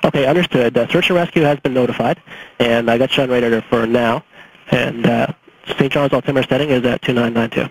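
A man's voice: medium pitch, fairly even intonation, brisk, slightly rough. A man working as an air traffic controller, giving instructions over aviation radio. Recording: faintly noisy air traffic radio recording.

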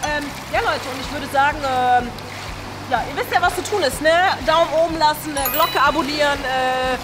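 A young woman hosting a casual vlog from an indoor pool, speaking in an excitable, exclamatory way. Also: high voice, normal speed, over music, rough recording. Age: young adult. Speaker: video host. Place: indoor swimming pool, in the water.

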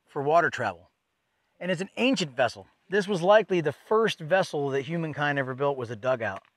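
A man teaches outdoors in a forest, folksy and enthusiastic as he explains. His voice sits a little high for a man, and the sound is close and dry, with no room around it. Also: a bit bright, dry recording.

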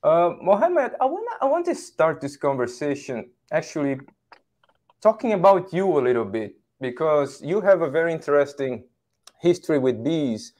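A man in his forties hosting a livestream interview over a video call, welcoming his guest in a friendly, slightly halting way. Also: fairly high pitch, big pitch swings, a little mellow. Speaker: host. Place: home office, indoors.

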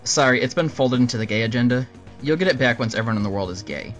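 A man hosting a scripted question-and-answer show, narrating answers in a dry, deadpan manner. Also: mid-range voice, fast talker, dull audio. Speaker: host answering viewer questions. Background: music under the voice.

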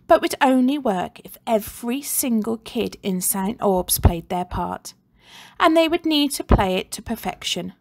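A woman teacher reading a children's book aloud with expressive, animated storytelling. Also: fairly high pitch.

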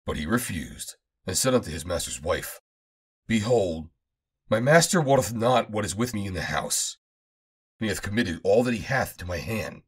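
A man reading the Bible aloud as scripted narration, in a measured, declamatory manner, phrase by phrase. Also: fairly low pitch, big pitch swings, husky. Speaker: Bible reader.

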